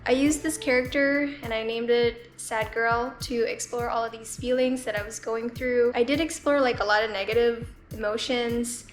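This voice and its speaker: A woman in her twenties from the Philippines, vlogging casually as an artist in her studio, chatty and relaxed with lots of "like" fillers. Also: fairly high pitch, normal speed, hardly any pauses. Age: 20s. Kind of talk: casual vlog chat. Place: art studio. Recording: echoey room with faint music.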